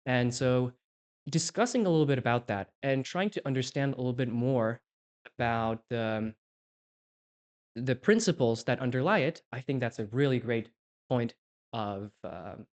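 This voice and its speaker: A man's voice: medium pitch, normal speed, a little mellow. A man in his twenties from Poland, co-hosting a podcast and being interviewed, talking in a reflective way and thinking aloud with frequent restarts. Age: 20s. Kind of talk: casual podcast conversation.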